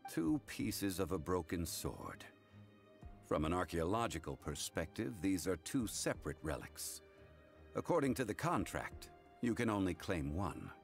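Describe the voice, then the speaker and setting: An actor playing a calm, learned, unyielding gentleman in a video game, speaking in a measured, formal way. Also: deep voice, vocal fry, soft background music, heavily acted. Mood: firm, measured. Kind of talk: English game voice acting.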